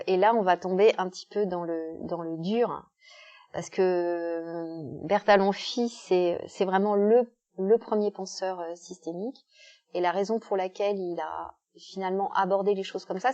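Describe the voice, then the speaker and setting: A woman speaker explains step by step through everyday examples, often pausing with fillers. Her voice is dark and soft-edged. The recording sounds somewhat dull and muffled. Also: fairly low pitch, steady tone. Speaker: guest speaker, author. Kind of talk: explanatory talk at a club meeting.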